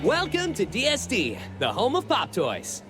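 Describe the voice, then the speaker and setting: A woman's voice: on the high side, huge pitch swings, unhurried. A woman playing a chirpy young toy shop clerk in an anime-style game, with effusive sales patter. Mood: bubbly, salesy. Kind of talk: animated anime game voice acting. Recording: music behind the voice.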